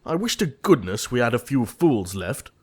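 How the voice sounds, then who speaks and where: A man playing an earnest young gentleman suitor in a period comedy audio play, formal and easily exasperated. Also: medium pitch, very animated, moderate pace, slightly rough. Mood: irritated. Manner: formal, earnest, fussily indignant.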